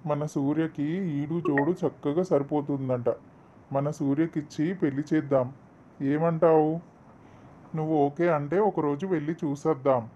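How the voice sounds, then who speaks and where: A man's voice: fairly high pitch, fairly even intonation, measured pace, warm tone, close to an everyday voice. A man voicing a reasonable, teasing middle-aged husband and father in a cartoon moral story, persuasive and wry. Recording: dull lo-fi, slightly noisy track.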